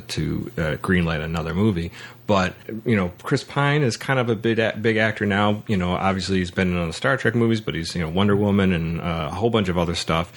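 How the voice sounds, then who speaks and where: A man hosting a podcast in a casual movie discussion, speaking in halting, hesitant phrases with repeated word starts. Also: deep voice. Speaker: podcast host.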